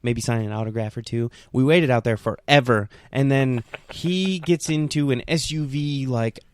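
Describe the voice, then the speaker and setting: A man's voice: mid-range voice, moderate pace, a little mellow, clear, resonant tone, no room sound. A man chatting on a podcast in an everyday mid-range voice, laughing often as he tells his stories. The sound is close and dry, with no room in it. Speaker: podcast co-host. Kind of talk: casual chat.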